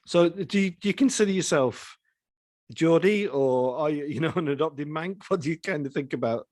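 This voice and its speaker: A man's voice: fairly high pitch, normal speed, darkish tone, no room sound, clean studio sound. A man hosting a conversational interview, often repeating words as he forms his questions. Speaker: podcast host interviewing a musician.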